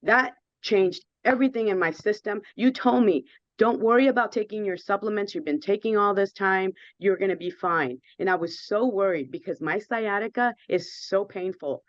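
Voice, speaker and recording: A woman in her fifties with a hoarse voice, a guest telling her story earnestly and with emphasis. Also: medium pitch, normal speed, dry recording, muffled recording. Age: 50s. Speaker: guest sharing her experience.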